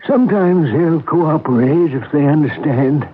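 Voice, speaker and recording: Guy doing eccentric old man, slow n pleading, highish voice, old radio drama. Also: lightly acted. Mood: coaxing. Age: older. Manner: coaxing, halting, repetitive. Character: eccentric, pleading old gentleman devoted to wildflowers. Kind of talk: 1970s radio drama acting. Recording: tinny, lightly noisy old radio recording.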